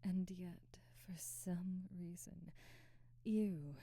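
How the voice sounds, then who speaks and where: Woman doing an elegant vampire lady for asmr, low slow breathy whispering, very dark mellow tone, bossy and formal. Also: no echo, clean studio sound, heavily acted. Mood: admiring, teasing. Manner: formal, archaic, measured phrasing. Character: elegant domineering vampire noblewoman, secretly conflicted. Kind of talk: intimate ASMR roleplay, slow whispery delivery.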